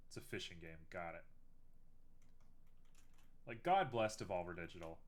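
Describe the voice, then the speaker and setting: A man's voice: deep voice, animated, normal speed. A young man streaming live while making art, chatting casually and teasing himself as he works. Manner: chatty, self-mocking, thinks aloud while working.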